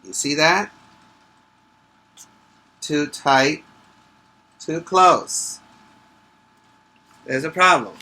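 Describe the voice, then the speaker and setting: A man with a bright, forceful voice reads a children's story at a slow pace, a little high in pitch. He leans on key words and stops to check that young listeners follow, in the instructive way of a teacher. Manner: emphatic, stretching key words. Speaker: preschool teacher reading aloud. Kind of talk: animated picture-book read-aloud with asides to children.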